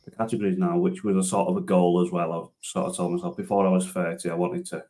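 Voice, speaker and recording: A man's voice: low-pitched, little pitch movement, quick pace, warm tone. A man, a transport manager chatting casually as a podcast guest, rambling with many restarts. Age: 30s. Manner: rambling, many restarts and fillers, self-deprecating. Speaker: interview guest, transport manager and driver trainer.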